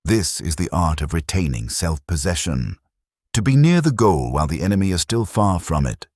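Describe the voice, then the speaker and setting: A man reading an audiobook as scripted narration, in a measured, solemn and declarative manner. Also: deep voice, sing-song, a little mellow, no echo, studio quality.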